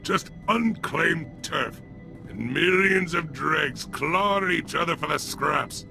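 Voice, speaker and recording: An actor playing a brutish, bloodthirsty alien gangster in a video game, with a rough voice and crude, sneering street-thug talk. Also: male voice, on the high side, lively intonation, slow, faint music behind, heavily acted. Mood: boastful, nostalgic. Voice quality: rough, gravelly. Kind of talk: video game character acting.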